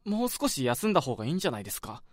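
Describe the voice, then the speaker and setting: A man's voice: mid-range voice, very animated, racing, no echo, studio quality. An actor playing a polite young male junior office worker in a radio drama, eager and concerned. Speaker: junior coworker.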